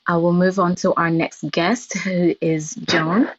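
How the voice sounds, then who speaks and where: A woman's voice: lowish voice, little pitch movement, takes it slowly, no echo. A woman hosting an online talk, thanking a speaker and leading on to the next guest, with many fillers. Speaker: host. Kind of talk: moderating an online talk room. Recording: dry lo-fi streamed audio.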